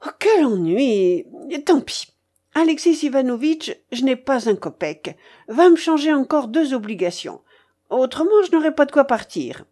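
A woman voicing an imperious, quick-tempered old grandmother in an audiobook, blunt and scolding. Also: fairly high pitch, very animated, darkish tone. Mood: bossy, agitated. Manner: commanding, exclaiming, scolding. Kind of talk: audiobook character voice.

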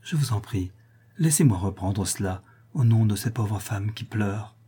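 A man voicing a gentle, pious young woman prisoner who speaks softly and pleads, in a husky voice. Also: on the low side, normal speed. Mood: pleading. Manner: soft, pleading, calm.

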